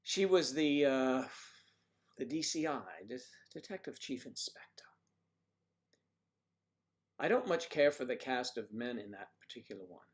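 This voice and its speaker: A man's voice: medium pitch, animated, unhurried, long pauses. A man hosting a casual livestream, reading viewers' comments aloud and joking with them by name in a rambling, chatty way. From United States. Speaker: livestream host reading chat.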